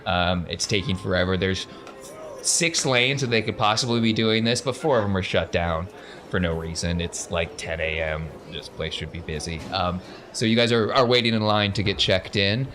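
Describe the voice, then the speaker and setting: A man's voice: low voice, normal speed, fairly bright tone, slight background noise. A man narrating an improvised sci-fi tabletop game as game master, in a casual, joking way.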